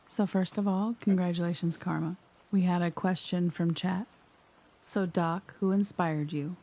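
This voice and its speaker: A woman's voice: lowish voice, fairly even intonation, unhurried, dark tone. A woman co-hosting a live stream, upbeat as she passes on questions from chat. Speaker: co-host. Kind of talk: casual interview chat.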